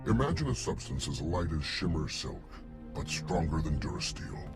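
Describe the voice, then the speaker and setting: A man's voice: rumbling low, very animated, normal speed, heavily acted. A man voicing a haughty alien Hutt scientist in a video game, condescending and wordy, a huge slug-like genius. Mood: proud, urgent. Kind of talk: video game cutscene acting. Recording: music playing behind.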